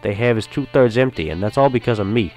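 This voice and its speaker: A bragging young college guy, full of himself, talking up his money, as a male storyteller puts him on. The voice climbs high and loud when he insists, over a base that is low. Faint guitar music plays underneath. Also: animated, fast, close to an everyday voice. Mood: boastful. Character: boastful young male student flaunting money.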